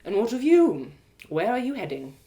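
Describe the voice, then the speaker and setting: A woman's voice: on the high side, sing-song, moderate pace, dark tone. A woman voicing a stern, suspicious male elf traveler who questions her closely in a formal, insistent manner. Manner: probing, formal, coolly insistent. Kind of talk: one-woman audio drama reading.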